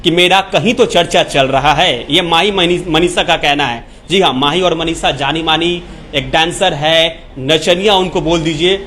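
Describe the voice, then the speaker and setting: Guy doing off the cuff news commentary, kinda high voice, repeats stuff for emphasis, some noise behind him. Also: measured pace, bright, forceful tone. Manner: repetitive, emphatic phrasing with rhetorical questions. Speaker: entertainment news presenter. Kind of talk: unscripted news commentary addressed to viewers. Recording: clear background noise.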